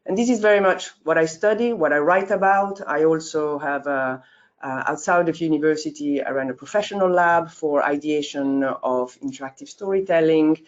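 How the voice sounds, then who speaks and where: A woman in her fifties, a course leader, introducing a course informally, with many fillers and sudden leaps in pitch. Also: normal speed. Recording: dull lo-fi audio.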